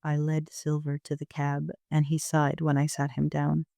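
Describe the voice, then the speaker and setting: A man's voice: a little high, completely flat delivery, moderate pace, gravelly, warm tone, dry recording, studio quality. A man narrating a first-person audio drama as an amateur reader, in an understated storytelling manner.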